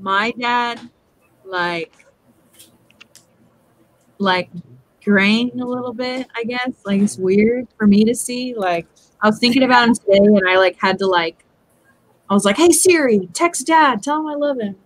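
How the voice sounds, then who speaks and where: A woman's voice: fairly high pitch, measured pace, long pauses, poor audio. A young woman on a video call from home, presenting a ring in a rambling, chatty way full of "like" and "um". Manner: chatty, rambling, heavy use of "like" and "um". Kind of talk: casual live-stream chat. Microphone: webcam video call.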